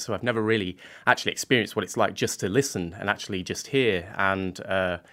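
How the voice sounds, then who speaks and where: Low-voiced earnest young man. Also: sharp tone. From England. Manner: earnest, halting, many fillers and restarts. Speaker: guest, art student. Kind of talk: radio interview.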